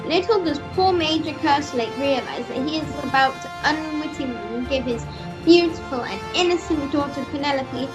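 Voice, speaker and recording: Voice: high voice, moderate pace, close to an everyday voice, low-quality recording. A young-sounding performer reading dramatic scripted narration for an amateur melodrama radio play. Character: earnest storyteller narrator of a villainous melodrama. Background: music.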